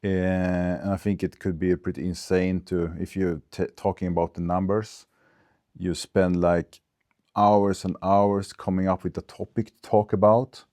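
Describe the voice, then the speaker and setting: Deep-voiced Swedish man thinking aloud. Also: flat delivery, dark tone, no room sound, clean studio sound. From Sweden. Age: 40s. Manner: thinking aloud, halting with fillers and restarts. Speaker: vlogger. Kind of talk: unscripted casual vlog. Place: recorded on a phone camera. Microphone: small clip-on wireless mic.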